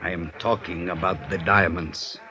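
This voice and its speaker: Guy doing a shady foreign informant, low voice, careful formal talk, faintly noisy 50s radio play. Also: steady tone, highs cut off, natural voice. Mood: guarded, businesslike. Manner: formal, cautious, measured, avoids contractions. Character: secretive, calculating foreign male informant. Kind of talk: 1950s radio drama acting.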